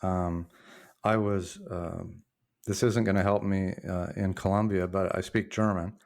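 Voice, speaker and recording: A man in his sixties with a very low, deep voice talks through his memories as a podcast guest. He is reflective and halting, often restarting his sentences as he tells his story. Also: fairly even intonation, creaky. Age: 60s. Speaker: podcast guest, former journalist. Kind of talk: casual storytelling interview.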